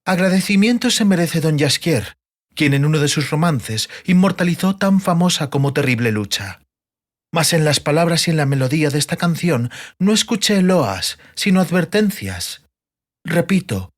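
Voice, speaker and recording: Man putting on a cool, proud elf. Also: fairly high pitch. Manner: cold, reproving, formal. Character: cool, proud, dignified slender male elf.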